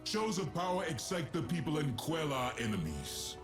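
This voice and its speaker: An actor playing a proud, ancient emperor in a fantasy game, speaking in grand, formal, commanding declamation. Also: male voice, on the high side, sing-song, moderate pace. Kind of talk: theatrical fantasy game voice acting. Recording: music behind the voice.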